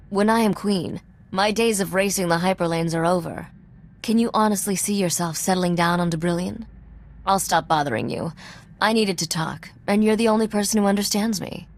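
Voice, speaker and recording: An actress playing a sardonic, self-assured young smuggler who is also an exiled royal heiress, in video game dialogue. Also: female voice, medium pitch, normal speed, natural voice. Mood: earnest, guarded. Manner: dry, wry, self-assured.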